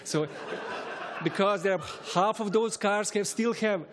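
A man's voice: sits high, noisy background. A man from Bosnia and Herzegovina telling a personal travel anecdote in English, chuckling often and restarting his sentences. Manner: chuckling, halting, with frequent restarts. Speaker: storyteller.

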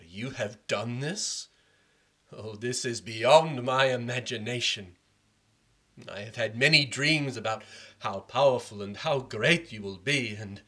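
Brief: man playing a commanding old king, slow and deliberate, bright tone. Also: mid-range voice. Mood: amazed. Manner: measured, fatherly, commanding. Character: proud, stern older king.

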